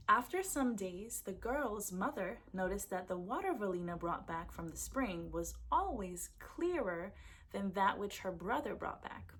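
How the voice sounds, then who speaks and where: A woman reading a folktale aloud as a storyteller, with expressive narration for children. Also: mid-range voice, husky.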